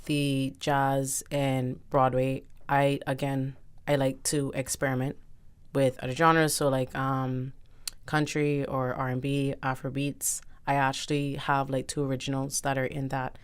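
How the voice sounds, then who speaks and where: A young woman singer being interviewed on radio, talking casually with many pauses and fillers. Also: rumbling low, flat delivery, clean tone. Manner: hesitant, many fillers and restarts, laughs often. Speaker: singer guest.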